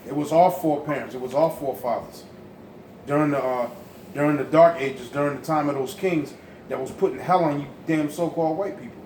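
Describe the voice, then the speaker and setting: Insistent male discussion leader, raised voice, echoey noisy room. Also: fairly high pitch. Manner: forceful, insistent. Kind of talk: emphatic teaching talk. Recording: echoey room with background noise.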